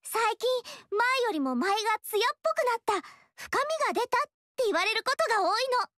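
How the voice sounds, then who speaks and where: Bubbly sunny monk girl, super high and bouncy, dry studio sound. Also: animated, a little fast, no echo, heavily acted. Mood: cheerful. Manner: bright and friendly. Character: cheerful, bubbly young woman monk. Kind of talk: game dialogue.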